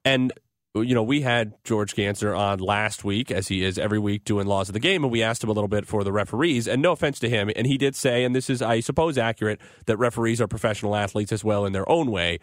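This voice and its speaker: An American man hosting sports talk on the radio in a conversational, measured and analytical way, with fairly wordy explanations. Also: on the low side, no room sound, studio quality. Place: dry radio studio.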